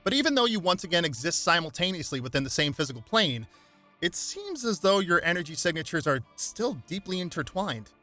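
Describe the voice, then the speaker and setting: A man voicing a brainy, measured male superhero scientist in a storybook reading, precise and explanatory. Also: high-pitched, very animated, sharp tone, soft background music, pitched above the performer's own voice, exaggerated voice. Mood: puzzled.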